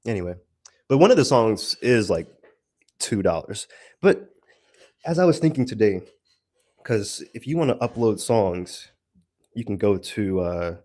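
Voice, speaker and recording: Guy doing a casual rambly audio log, lowish voice, dry close sound, kinda halting. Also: animated, darkish tone, no room sound. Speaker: solo creator giving a brand update.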